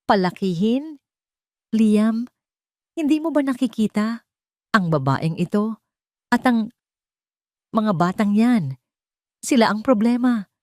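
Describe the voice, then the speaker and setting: A woman's voice: fairly high pitch, lively intonation, slow, pauses often, a little mellow, dry recording, voice pushed up. An imperious older mother from a wealthy family, acted out by a woman storyteller, speaks in a raised, cutting voice. She is scornful and accusing, in the manner of an audio drama villain, recorded close and dry. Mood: angry, pleading disbelief. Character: haughty, wealthy older mother, contemptuous and furious.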